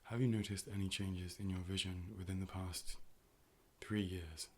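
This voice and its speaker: A man playing a calm, polite robotic AI optician in an ASMR role play, speaking in a measured, formal, deadpan way. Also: low-pitched, close to an everyday voice. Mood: calm, clinical. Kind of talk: ASMR medical role play, personal attention.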